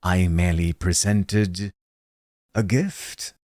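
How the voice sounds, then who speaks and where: Enigmatic male stranger for an audio tale, read by a man: low, measured, formal, knowing. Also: darkish tone, no echo, clean studio sound.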